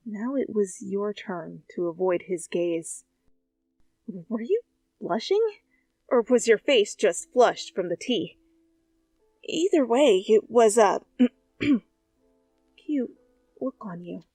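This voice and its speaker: A woman's voice: medium pitch, lively intonation, takes it slowly, pauses often, no echo. A young woman reading fanfiction as ASMR, casual, with laughing, swearing asides. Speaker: fanfic reader. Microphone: close studio mic. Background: soft music.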